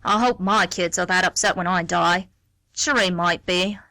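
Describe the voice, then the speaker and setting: A woman's voice: on the low side, steady tone, normal speed, pushed and bright, no echo, low-quality recording. A woman playing a chatty, nosy, prickly middle-aged mother in an amateur radio play, rambling, cheeky and easily affronted. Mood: chatty, aggrieved.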